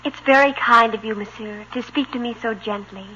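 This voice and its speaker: A woman's voice: a little high, fairly quick, highs cut off, noisy background, lightly acted. A woman playing a dreamy, stage-struck teenage American girl in a 1950s radio sitcom, theatrical and over-earnest. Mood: dreamy, absent.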